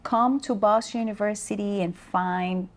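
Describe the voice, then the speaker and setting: A woman from the Dominican Republic, a native Spanish speaker, talking as a guest about leadership in a slow, thoughtful way with pauses and fillers. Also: medium pitch, steady tone. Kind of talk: interview conversation.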